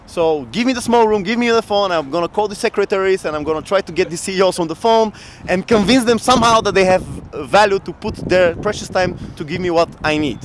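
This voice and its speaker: A man's voice: high-pitched, fast talker, a little hiss or hum, poor audio. Upbeat man talking outdoors in a city. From Bulgaria. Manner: animated, laughs often, upbeat. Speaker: guest entrepreneur. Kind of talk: casual interview storytelling. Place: outdoor city square in winter. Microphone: handheld mic shared, camera close.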